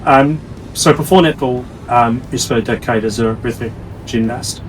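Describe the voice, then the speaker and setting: A man hosting a conversational interview in wordy, halting phrases with repeated words. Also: mid-range voice, distant mic, slight background noise, poor audio. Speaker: interviewer, host.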